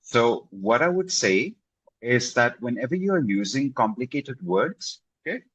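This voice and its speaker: A husky man talks in a relaxed, conversational way as an English tutor. He speaks encouragingly and keeps checking in with his listener. The sound is dry and close. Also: medium pitch, measured pace, no echo. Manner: encouraging, repeats himself for emphasis with "okay?" and "right?". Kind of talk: casual video-call conversation.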